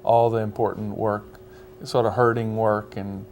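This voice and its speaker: A male pastor speaking as a guest on a TV interview, measured and hesitant with many pauses. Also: fairly low pitch, little pitch movement, darkish tone, slight background noise.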